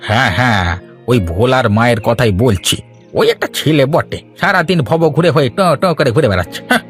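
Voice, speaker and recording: A man's voice: mid-range voice, expressive, brisk, soft background music, lightly acted. A man playing a blustering village busybody. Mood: gossipy. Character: grumbling, loyal Bengali village busybody man. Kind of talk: animated folk-tale cartoon dubbing.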